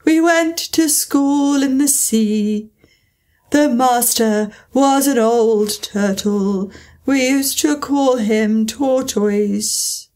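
A woman's voice: a little high, steady tone, a little mellow. A woman doing a sobbing, sighing Mock Turtle. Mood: mournful. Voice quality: slow, drawn-out, sighing. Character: mournful sobbing male Mock Turtle creature.

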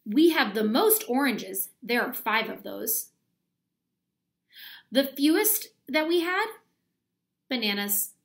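Female elementary teacher, read-aloud for young children. Lively swooping pitch with creaky fry, deliberate and instructional. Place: small plain room at home, indoors.

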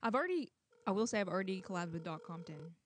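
A woman's voice: fairly low pitch, expressive, fast, a little hiss or hum. A young woman hosting a livestream in casual chat, speaking into a handheld microphone outdoors. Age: young adult. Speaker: livestream host. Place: outdoors in a garden.

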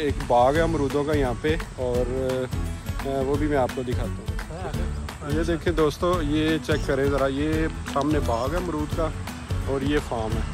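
A man, giving a casual, informal walk-around tour outdoors, often urging viewers to look. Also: a little high. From Pakistan. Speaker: vlog host. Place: outdoors, roadside and open farm sheds. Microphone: phone at arm's length. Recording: background music under outdoor phone audio. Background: music.

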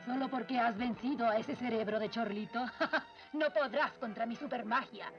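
A woman voicing a haughty, scornful evil sorceress in a Latin American Spanish cartoon dub, with a sneering, drawn-out delivery. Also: fairly high pitch, natural voice. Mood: taunting. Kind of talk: 1980s cartoon villain dubbing. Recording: dull old cartoon soundtrack with loud music.

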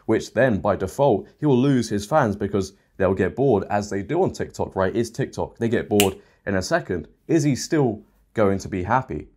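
A man's voice: fairly low pitch, darkish tone. A young British man in his twenties giving mocking, sarcastic reaction commentary to the camera from a small home studio. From Britain. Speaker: commentary host.

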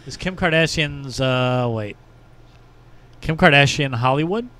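A man's voice: medium pitch, measured pace, sharp tone. A man in casual, joking podcast banter, speaking into a studio microphone. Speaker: podcast co-host. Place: small indoor podcast studio. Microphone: studio microphone with headphones.

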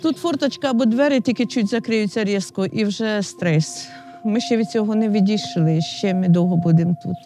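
A woman's voice: medium pitch, brisk, dark tone, faint music behind. Distressed Ukrainian woman near tears. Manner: agitated, on the verge of tears. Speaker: villager interviewed about wartime occupation. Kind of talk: emotional interview testimony.

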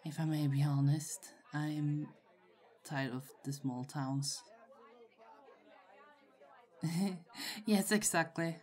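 A woman acts out a shy young female student in an ASMR roleplay. Her voice sits low and she takes her time, halting and hesitating in a friendly, giggly manner. A crowd murmurs behind her. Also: steady tone, darkish tone. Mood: shy, thoughtful. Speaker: voice actor in roleplay audio. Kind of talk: ASMR roleplay, acted casual conversation. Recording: faint crowd chatter behind. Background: party crowd murmur.

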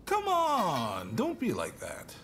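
Wry, animated shogunate schemer. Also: male voice, sits high, slow, slight background noise, exaggerated voice. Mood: coaxing, earnest. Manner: informal, coaxing, frank. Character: wry, persuasive, shrewd shogunate official. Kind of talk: scripted video game cutscene acting.

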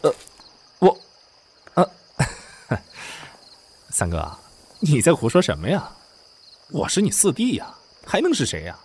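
An actor as a wildly animated, flustered princely impostor. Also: male voice, a little high, slow, pauses often, slight background noise, heavily acted. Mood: nervous, evasive. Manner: theatrical, swinging between muttered asides and protests. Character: flustered young man posing as a prince, brash, indignant. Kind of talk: costume audio drama acting.

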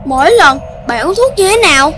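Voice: very high voice, animated, fairly quick, sharp tone, full character voice. An actor voicing an eager, playful, outspoken little child in a children's cartoon. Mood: helpful. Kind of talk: children's animated cartoon voice acting. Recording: music under the voice.

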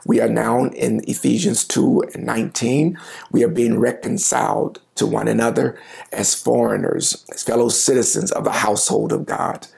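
A middle-aged man, a pastor, preaching a sermon to the camera from a home office, reading scripture and explaining it with lively gestures. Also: mid-range voice, moderate pace, creaky. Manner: animated gestures, reading and explaining scripture, occasional quiet asides. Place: home office with bookshelves, indoors. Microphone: lapel mic, seated at desk.

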